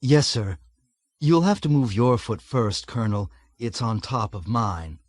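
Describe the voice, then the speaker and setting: A man's voice: mid-range voice, measured pace, no room sound, clean studio sound, natural voice. A man voicing a nervous army major who answers his colonel in a fawning, flustered way. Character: flustered male army major, eager to please.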